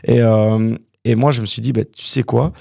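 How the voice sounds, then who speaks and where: A young man from Canada, a guest entrepreneur telling his story in a casual interview, animated and full of fillers. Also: on the low side, moderate pace, vocal fry, dry recording, clean studio sound. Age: young adult.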